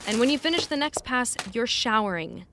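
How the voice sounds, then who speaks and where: An actress playing a blunt, exasperated but caring personal assistant in naturalistic audio drama, wry and no-nonsense. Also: female voice, on the high side, lively intonation, fairly quick, noisy background, natural voice. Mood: wry, bossy. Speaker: actor.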